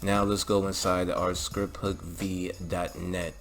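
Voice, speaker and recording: A man's voice: deep voice, flat delivery, slow pace. A man narrating a casual step-by-step tutorial as a channel host, with an informal, instructional way of talking. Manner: informal, instructional, often tagging phrases with "all right" and "okay". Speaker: host of a game-modding channel. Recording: music playing behind the voice. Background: background music.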